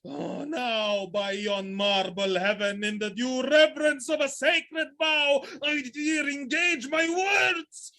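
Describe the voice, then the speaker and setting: An actor playing a jealous, vengeful military commander in a Shakespearean audio drama, theatrical and brooding. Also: male voice, extremely high pitch, takes it slowly, hardly any pauses, full character voice. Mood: vengeful rage. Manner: theatrical, brooding, erupting into shouts.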